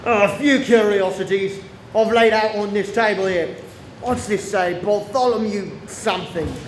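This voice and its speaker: A seasoned police superintendent, played by a man, speaks gravely in a radio drama. The voice is notably high for a man, in an echoey room with faint noise. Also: moderate pace, close to an everyday voice. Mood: inquisitive, brisk. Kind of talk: radio play acting.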